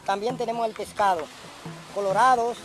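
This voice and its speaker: A seafood vendor giving a sales pitch, listing the wares loudly. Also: fairly even intonation, moderate pace. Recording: voice from afar under loud music. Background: music.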